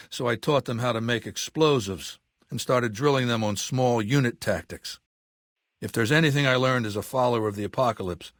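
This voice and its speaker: Ruthless dictator for a game, male actor. Erudite, measured, coldly imperious; mid-range voice, close dry sound. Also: mid-range voice, fairly bright tone, dry recording, lightly acted. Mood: cold, instructive. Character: ruthless, cultured warlord dictator in middle age. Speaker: video game villain. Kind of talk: dramatic game dialogue monologue.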